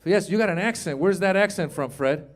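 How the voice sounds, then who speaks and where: A man in his fifties doing a stand-up comedy set on a club stage, joking with the crowd in a loud, animated way and swearing freely. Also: high-pitched, brisk. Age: 50s. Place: comedy club stage before a live audience.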